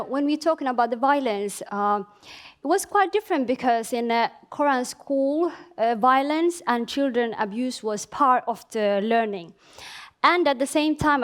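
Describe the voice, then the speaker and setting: A woman, born in Somalia and raised in Finland, gives a conference presentation in a hesitant way, with frequent filler sounds and restarts. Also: fairly high pitch. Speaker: conference speaker. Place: conference venue.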